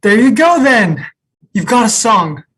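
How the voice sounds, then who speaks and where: A woman playing an earnest, awkward male café customer in a comedy table read, speaking deliberately. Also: fairly high pitch, sing-song, slightly rough, exaggerated voice.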